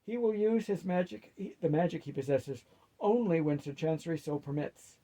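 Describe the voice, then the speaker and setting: A commanding lord of the dead performed by a man reading a storybook. He speaks loudly and deliberately with full authority, in a raised, husky voice. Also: a little high, rough recording. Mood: stern, authoritative. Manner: authoritative, loud, deliberate pronouncements.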